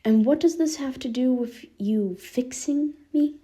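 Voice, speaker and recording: A woman's voice: high-pitched, measured pace, dark tone, pitched above the performer's own voice. A woman voicing a wary, sardonic young man in a fan fiction reading. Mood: skeptical, snarky. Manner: sardonic, blunt. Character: snarky, wary young man, gruff but caring.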